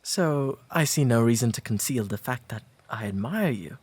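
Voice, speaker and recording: A man playing an earnest, courteous nineteenth-century gentleman suitor in a romantic roleplay audio, with an English accent, speaking softly and formally with some nervous hesitation. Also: mid-range voice, expressive, slightly rough. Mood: earnest, consoling. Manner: formal, halting, nervously sincere.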